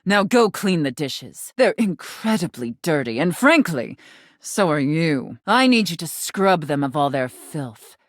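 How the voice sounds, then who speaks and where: A woman's voice: sits low, sing-song, unhurried, no room sound, clean studio sound, exaggerated voice. A woman playing a haughty, domineering, condescending mistress in a video game, speaking with formal, imperious, disdainful diction. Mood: stern, demeaning. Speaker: voice actor in a dating simulator game. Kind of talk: theatrical video game character acting.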